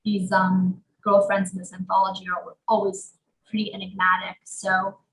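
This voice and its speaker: Chatty young woman with gravelly voice. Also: medium pitch, completely flat delivery, moderate pace. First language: Portuguese. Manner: chatty, lots of fillers like "um" and "like". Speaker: actor guest. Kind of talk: casual interview talk. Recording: roomy echo.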